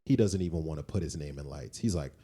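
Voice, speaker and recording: Deep, mellow-voiced man chatting casually. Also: animated, fast, breathy edge. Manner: informal, slangy, repeats phrases for emphasis. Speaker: podcast co-host. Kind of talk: casual conversational talk.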